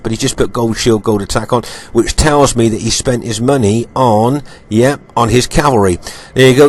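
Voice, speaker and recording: A man with a croaky voice, recovering from illness, giving unhurried commentary over strategy game footage, with many ums and repeated points. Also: medium pitch, a little hiss or hum.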